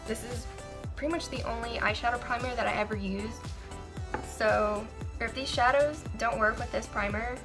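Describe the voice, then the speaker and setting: A young woman with a raspy, sore throat, chatting candidly through a makeup review with frequent ums and restarts. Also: on the high side. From Maryland, USA. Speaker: beauty vlogger. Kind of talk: casual product review.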